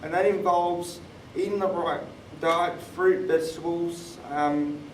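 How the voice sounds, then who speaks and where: Male, twenties, high voice, explanatory panel speaker; recorded far from the mic in an echoey room. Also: fairly even intonation, unhurried. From born in Thailand, moved to Australia. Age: 20s. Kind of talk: personal talk on a live panel podcast. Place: conference room, live event. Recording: roomy, distant mic, clear background noise.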